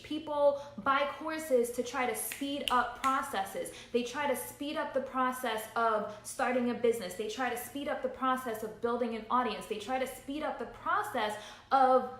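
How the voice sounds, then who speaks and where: A woman in her thirties speaking as a business coach in a motivational livestream, with a fairly high voice. She is earnest and emphatic and drives her points home by repeating them. The sound is roomy and echoey. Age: 30s. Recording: roomy echo.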